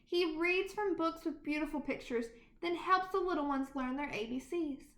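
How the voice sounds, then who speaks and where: A woman reads a children's picture book aloud in an animated, deliberate storytelling manner. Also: high-pitched. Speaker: storybook reader. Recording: roomy, echoing sound.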